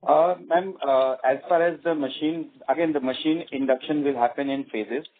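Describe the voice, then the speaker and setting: A man with an Indian accent answers on an earnings call as a company executive, explaining in a halting way. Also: fairly high pitch. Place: conference call. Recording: tinny phone-like conference line.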